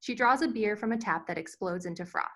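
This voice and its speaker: A woman's voice: medium pitch, a little fast, fairly bright tone. Female narrator, mid-range voice, reads screenplay stage directions.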